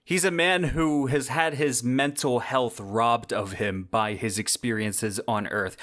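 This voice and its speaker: A man's voice: medium pitch, a bit bright. Conversational male podcast host giving commentary. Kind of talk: semi-scripted book commentary monologue.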